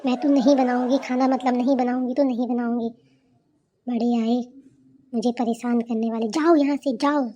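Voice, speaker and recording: Cartoon daughter-in-law, female actor: young, lazy, brash, high soft-toned voice, always complaining and talking down. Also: steady tone, normal speed, dull audio, a faint crowd, a little hiss or hum, lightly acted. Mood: defiant, scornful. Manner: scornful, bossy, complaining. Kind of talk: cartoon character dialogue.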